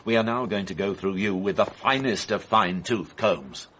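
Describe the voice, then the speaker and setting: Shrewd husky police inspector. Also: male voice, lowish voice, a bit bright. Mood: insistent, accusing. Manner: formal, measured, pointedly questioning. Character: shrewd, methodical male police inspector, calm and probing. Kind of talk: radio drama acting.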